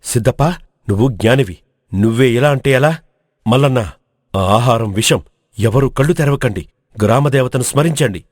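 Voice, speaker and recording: A man's voice: medium pitch, normal speed, long pauses, no room sound, studio quality, lightly acted. A man playing a brave, resolute village hero in an audio storybook, speaking in an earnest, commanding way. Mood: urgent, commanding. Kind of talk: dramatic audio storybook acting.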